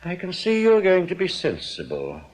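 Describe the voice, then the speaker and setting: An English actor playing a suave, scheming bank manager in a radio drama, wordy and full of oily, theatrical politeness. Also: male voice, high voice, huge pitch swings, moderate pace, darkish tone, exaggerated voice. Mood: smugly menacing. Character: suave, smug, scheming male bank manager, mock-gracious crook. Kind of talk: British radio drama acting.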